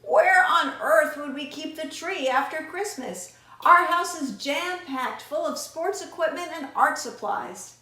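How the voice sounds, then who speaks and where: A practical storybook dad full of doubts, as a woman reads him. He reasons with mild exasperation in a high voice with roomy echo. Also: unhurried, hardly any pauses, bright, forceful tone. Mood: exasperated. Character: practical, doubtful father raising objections.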